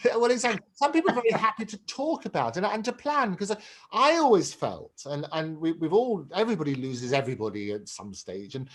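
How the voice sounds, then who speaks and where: A man with a fairly high voice that rises and falls animatedly. He hosts a conversation in an earnest, reflective way, often restarting his phrases, over a video call. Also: big pitch swings, quick pace, fairly bright tone. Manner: earnest, reflective, repeats words. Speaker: interview host. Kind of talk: conversational interview.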